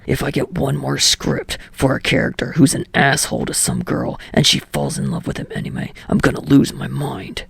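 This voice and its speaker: A woman voicing an irritable, guarded young man in an audiobook story, with a low raspy growl and a terse, grumbling manner. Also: very animated, moderate pace, hardly any pauses, a little hiss or hum, heavily acted. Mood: defensive. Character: gruff, irritable young male actor, foul-mouthed, guarded.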